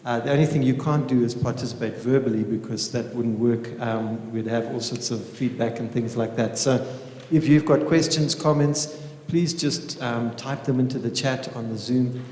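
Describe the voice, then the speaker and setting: A man's voice: medium pitch, moderate pace, darkish tone. Echoey man addressing a small gathering. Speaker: teacher opening and leading a panel evening in prayer. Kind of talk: informal welcome speech and spoken prayer. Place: indoor room with small audience. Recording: echoey reverberant room.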